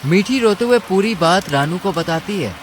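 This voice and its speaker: Male narrator for cartoon tales: fairly high voice, very varied intonation, scripted storytelling. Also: expressive, moderate pace, background noise.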